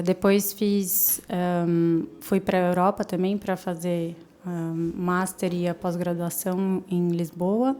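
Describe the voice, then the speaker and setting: A woman's voice: lowish voice, warm tone. A woman in her late thirties with a São Paulo accent, chatting as a podcast guest, laughing often and trailing off with fillers. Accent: São Paulo Brazilian Portuguese. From São Paulo, Brazil. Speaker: podcast guest, marketing professional. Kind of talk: casual interview chat.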